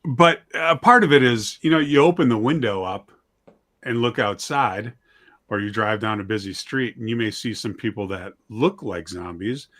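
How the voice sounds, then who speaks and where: A man with a fairly low voice speaks as a guest in a casual interview. He is plain-spoken and self-deprecating, and he leans into his convictions. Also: normal speed, a bit bright. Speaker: guest, audio drama writer and director.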